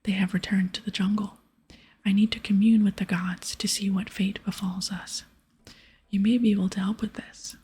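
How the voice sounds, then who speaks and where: Woman whispering as a breathy, solemn village shaman. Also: mid-range voice, level tone, normal speed, dark tone. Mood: grave. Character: solemn, courteous male village shaman in a fantasy game. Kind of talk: whispered ASMR reading of game dialogue.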